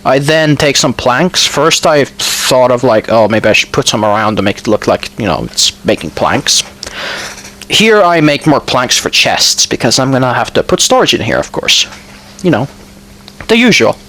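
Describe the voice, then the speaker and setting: A man's voice: mid-range voice, sing-song, moderate pace, pushed and bright, rough recording. A man with a Finnish accent, speaking Finnish as his first language, giving a casual voice-over of his own gameplay. He rambles and hesitates, fills gaps with ums, corrects himself, and throws in dry asides. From Finland. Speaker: gamer narrating his building.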